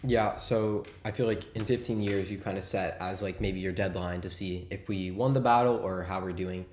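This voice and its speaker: A young man co-hosts a podcast and asks relaxed, casual questions. His voice is low, and the recording has a roomy echo. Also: normal speed, slight background noise. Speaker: podcast co-host. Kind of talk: interview questions.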